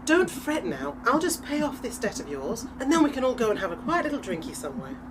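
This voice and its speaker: Woman playing breezy posh British adventuress, lilting high voice. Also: big pitch swings, fast talker, noisy background. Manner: flippant, theatrical, genteel endearments. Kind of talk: audio drama acting.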